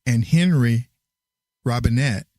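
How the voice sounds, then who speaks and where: A middle-aged man hosting a casual interview, asking friendly questions in a relaxed, unhurried way. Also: mid-range voice, dry recording. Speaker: podcast host. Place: Nashville.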